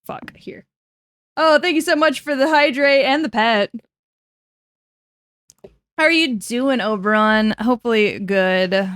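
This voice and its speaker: A woman's voice: a little high, measured pace, pauses often, a bit bright, no room sound. A woman in her twenties chatting casually on a game stream, laughing easily, swearing, and muttering to herself as she works things out. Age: 20s. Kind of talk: casual gaming stream chat.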